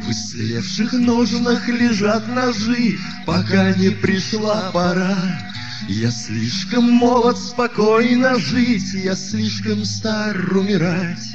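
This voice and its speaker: Over prominent plucked guitar, a man sings a bard song in a high voice. The phrasing is slow and drawn out. The recording is somewhat dull and lo-fi. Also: big pitch swings. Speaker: singer-songwriter. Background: plucked acoustic guitar.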